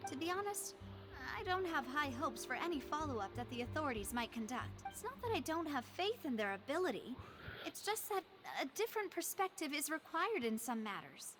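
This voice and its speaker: A woman's voice: high voice, very animated, fairly quick. An actress voicing a poised, polite young woman leader in an anime video game, articulate and earnest. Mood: earnest, resolute. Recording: game music behind.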